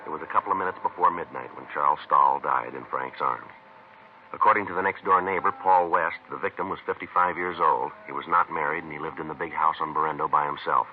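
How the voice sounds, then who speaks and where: A man's voice: a bass voice, fairly quick. An American man playing a stoic, clipped police detective who narrates his case matter-of-factly in a 1950s radio crime drama. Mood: flat, factual. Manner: deadpan, terse, matter-of-fact. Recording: muffled, noisy old radio recording.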